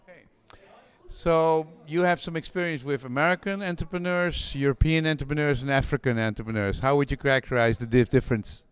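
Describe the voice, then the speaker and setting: A man's voice: fairly high pitch, fairly quick, smooth, no echo. Dry-sounding male interviewer. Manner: summarizing, leading questions. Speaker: interviewer and channel host. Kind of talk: casual interview questions.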